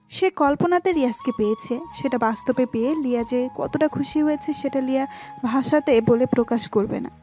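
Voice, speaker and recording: A woman's voice: high-pitched, darkish tone. A woman reading scripted narration for a romantic story. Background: music.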